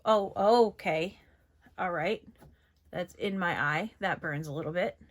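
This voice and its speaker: A woman's voice: on the low side, a little slow. A young woman from New York with a general northeastern American accent, chatting casually and rambling as she tests makeup on camera. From New York, USA. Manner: chatty, rambling, lots of fillers. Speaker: beauty vlogger. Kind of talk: casual makeup tutorial chat.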